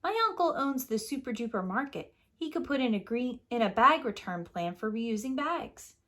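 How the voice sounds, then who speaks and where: A woman's voice: on the high side, expressive, moderate pace, pushed and bright. A woman voicing a tough, cocky young bear cub with a swaggering manner in a children's storybook. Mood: eager.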